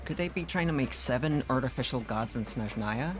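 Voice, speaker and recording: A woman streaming a video game, with an exceptionally low voice that moves around animatedly. She comments casually as she plays, with game music behind her. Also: lively intonation, brisk.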